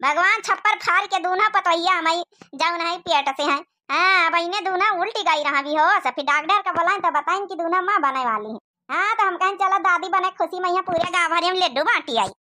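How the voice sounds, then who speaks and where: A woman's voice: very high voice, a little fast, sharp tone. A woman voicing a gossipy older village mother-in-law in a cartoon comedy, with an Awadhi accent and a chatty, folksy scolding manner. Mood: proud, chatty.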